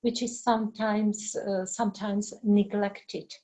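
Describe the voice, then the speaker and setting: Slow-speaking Czech woman teacher. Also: mid-range voice, level tone, mellow. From Czech Republic. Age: middle-aged. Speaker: language teacher giving a webinar. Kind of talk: informal lecture with explanations and answers.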